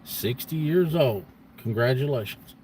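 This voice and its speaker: A man in his fifties rambles casually to an online audience in a mid-pitched voice. He is blunt and folksy, leaning on repetition to press his point. Steady vehicle-like ambient noise sits behind him. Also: a little slow, rough recording. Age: 50s. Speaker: livestream host. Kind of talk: casual rambling monologue to viewers.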